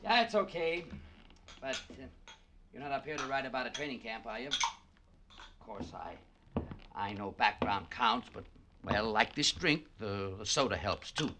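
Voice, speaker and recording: A man's voice: mid-range voice, lively intonation, a little slow, long pauses. An American man acting a short-tempered, domineering boxing boss in a vintage radio drama, brusque and slangy. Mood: affable, brisk. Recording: hissy vintage radio recording.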